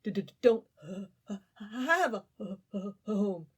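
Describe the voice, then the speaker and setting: A woman's voice: mid-range voice, expressive, slow pace, husky. Storybook grasshopper, teeth chattering, stuttering from cold, as a woman puts him on. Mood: freezing. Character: shivering carefree male grasshopper.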